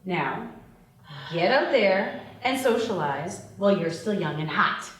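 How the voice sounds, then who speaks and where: A woman's voice: medium pitch, animated, unhurried, lightly acted. A woman reading the part of a brash, bossy young criminal in a screenplay table read, blunt and teasing. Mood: confident lecturing. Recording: echoey room, distant mic, background noise.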